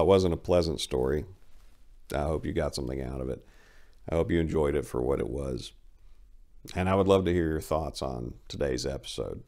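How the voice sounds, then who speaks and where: A man's voice: extremely low pitch, vocal fry, darkish tone. A man narrating a short story as a dramatic reading, as its host.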